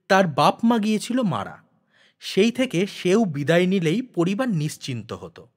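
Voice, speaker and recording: Higher-voiced man narrating a literary story. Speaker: audiobook narrator.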